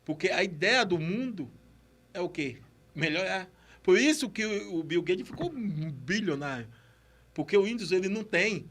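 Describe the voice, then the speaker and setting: A man's voice: high-pitched, lively intonation, normal speed, a bit bright. A man with a Brazilian accent and a slight stammer, chatting casually and motivationally in a slangy, exhortative way on a podcast. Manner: slangy, exhortative, repeats himself. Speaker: podcast speaker on entrepreneurship.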